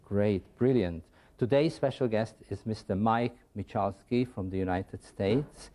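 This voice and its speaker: A man leads an English lesson in a school studio, cheerfully quizzing his students. His voice sits low with a mellow, dark warmth. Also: unhurried. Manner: upbeat, coaxing, quizzes students. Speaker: English teacher and show host.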